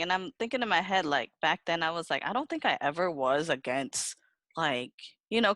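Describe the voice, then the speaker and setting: Animated, sweary woman telling stories. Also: lowish voice, no echo. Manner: sweary, animated storytelling with acted-out quotes. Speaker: podcast host. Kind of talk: casual, unfiltered group chat.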